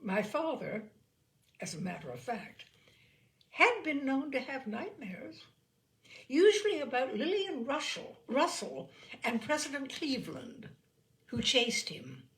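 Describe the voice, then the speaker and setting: Female narrator for comic short fiction: expressive, emphatic, varied pitch, some vocal fry. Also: measured pace. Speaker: storyteller, narrator. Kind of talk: humorous short story reading.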